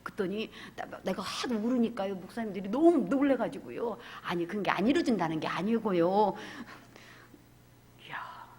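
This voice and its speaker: A woman pastor preaching a fervent sermon, swinging from loud cries to hushed whispers. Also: mid-range voice, a little fast, few pauses.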